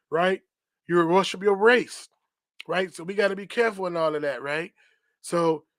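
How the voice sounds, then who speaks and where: Man, podcast host, preachy teaching, repeats himself, slightly high voice, dry close sound. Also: a bit bright, no room sound. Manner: preachy, repetitive, rhetorical "right?" tags; reads dictionary definitions aloud.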